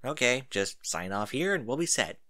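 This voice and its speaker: A man's voice: mid-range voice, big pitch swings, normal speed, sharp tone. A man voicing a polite, businesslike woman behind a reception counter in a story. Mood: courteous.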